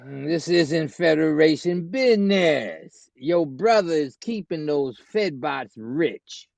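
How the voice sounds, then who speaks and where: A man performs a cruel sci-fi villain at a table read, gloating and taunting. His fairly high voice has a gravelly fry and swings in pitch as he toys with his prey. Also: lively intonation, slow pace, darkish tone, no echo, heavily acted. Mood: menacing, smug. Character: cruel, arrogant adult male sci-fi villain.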